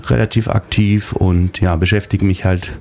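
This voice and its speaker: A man sits as a studio guest on a radio talk show, laying out his ideas calmly and in precise terms. His voice is on the low side. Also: moderate pace, creaky. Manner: calm, explanatory, precise wording. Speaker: studio guest, local activist. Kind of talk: radio interview. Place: small padded radio studio.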